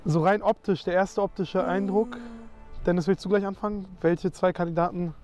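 A man's voice: high-pitched, steady tone, a little mellow, faint music behind. A man co-hosting a football guessing show outdoors, teasing the players and asking others for their picks in casual banter. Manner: teasing, rapid questions.

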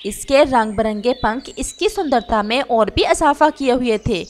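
A woman narrates a children's fable in a high voice. Her intonation is very lively. Faint noise can be heard behind the narration. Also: unhurried. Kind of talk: scripted children's story narration.

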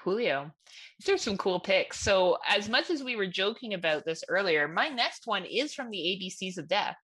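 A woman's voice: medium pitch, expressive, moderate pace, a bit bright, slightly rough. A woman hosting a casual podcast, chatting in a frank, informal way. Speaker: podcast host.

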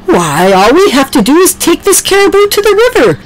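A woman's voice: fairly high pitch, normal speed, soft background music, pitched above the performer's own voice, poor audio. A woman voicing Oliver, a cheerful, encouraging male barn animal in a children's story. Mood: excited. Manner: excited, encouraging.

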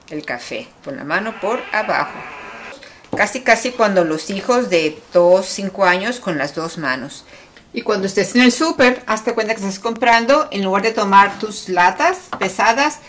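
A middle-aged woman giving casual how-to tips in a home kitchen, chatty and lively as she demonstrates with her hands. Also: medium pitch. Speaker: cooking teacher giving tips. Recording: roomy, a bit far from the mic, faint hiss.

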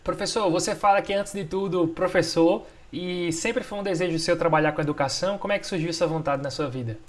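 A man's voice: sits high, a little fast. A young man hosting an interview over a video call from home, asking long, friendly questions. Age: young adult. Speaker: interviewer, host. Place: home room, indoors. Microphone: video call, laptop webcam.

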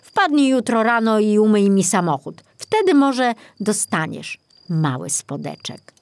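A mild forest ranger in a children's tale, read by a woman. He is composed and measured, slow in pace, recorded close and dry. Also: medium pitch, sing-song, a little mellow, dry recording, voice pushed up. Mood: calm, firm.

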